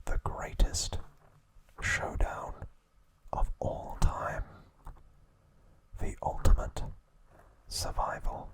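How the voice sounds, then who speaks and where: Male ASMR host, soft unvoiced whisper, unhurried delivery, dry playful asides. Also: rough recording. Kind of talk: whispered ASMR recap narration.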